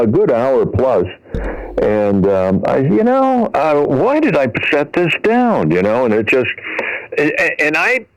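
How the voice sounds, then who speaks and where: A man's voice: on the high side, no room sound, muffled recording. A man rambling casually over ham radio, circling back mid-thought as he talks. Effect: heard over radio.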